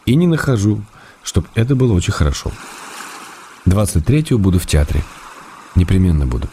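A calm wry letter writer. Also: male voice, sits low, a little fast, a little hiss or hum. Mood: fond. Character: affectionate wry man writing to his wife. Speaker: letter reader. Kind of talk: radio drama letter reading.

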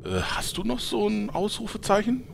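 A man's voice: sits high, huge pitch swings, moderate pace, gravelly, slight background noise, heavily acted. A man playing a cheeky freeloading gamer, theatrical in manner, in a comedic audio drama. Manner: theatrical, sheepish then indignant. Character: cheeky freeloading male player in online game parody.

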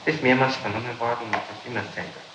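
A man working as a police interrogator, speaking in halting, formal officialese as he questions and reads out statements, on an old 1960s tape. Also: mid-range voice, steady tone, heavy reverb, background noise. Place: reverberant room indoors.

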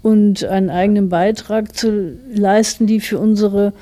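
Woman, middle-aged, warm dark timbre at medium pitch, reflective interviewee who explains carefully in long sentences. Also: fairly even intonation, measured pace. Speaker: interviewed writer and theologian.

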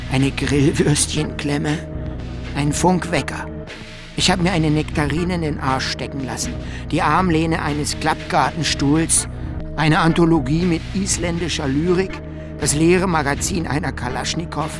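A man's voice: on the high side, natural voice. An actor playing a dejected young Somali man who confides in his friend, solemn, earnest and plaintive, in a radio play.

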